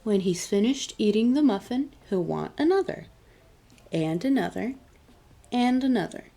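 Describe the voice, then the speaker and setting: A woman reading aloud as a children's storytime host, deliberate and expressive in her delivery. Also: fairly high pitch. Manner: clear, deliberate picture-book reading with expressive emphasis. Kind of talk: read-aloud storytime narration.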